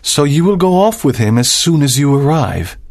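A man's voice: on the high side, sing-song, normal speed, no room sound, clean studio sound. An actor playing a stern, cold older doctor and controlling father in a dramatized audiobook, with a hard, commanding manner. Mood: probing.

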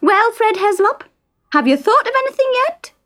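A woman's voice: extremely high pitch, very animated, moderate pace, fairly bright tone, voice pushed up, exaggerated voice. A woman voicing an anxious mother who frets and nags in exasperation. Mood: fretful. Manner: fretful, exasperated.

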